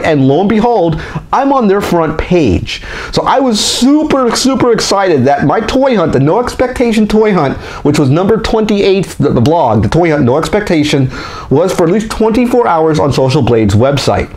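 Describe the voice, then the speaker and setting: A man's voice: high-pitched, a little mellow. Chatty man venting on a toy vlog. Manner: chatty, candid, frequently restarts and vents frustration. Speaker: toy collector channel host. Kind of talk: casual monthly update vlog. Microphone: desk microphone.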